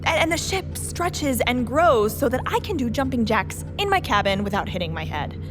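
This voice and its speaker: An actress playing an irreverent, jaded war veteran astronaut in a radio play, joking in a playful deadpan. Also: female voice, a little high, normal speed, music in the background.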